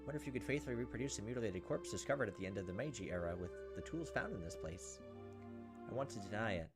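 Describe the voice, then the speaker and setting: A man's voice: fairly low pitch, expressive, fast talker. A man hosting a gaming video, reading visual novel text aloud dramatically with joking, exasperated asides. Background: game music and cricket sounds.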